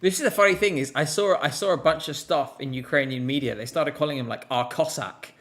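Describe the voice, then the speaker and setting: A man hosts a casual live stream. His voice sits a little high for a man, and he comments informally as he goes, in the manner of relaxed banter. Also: fast talker.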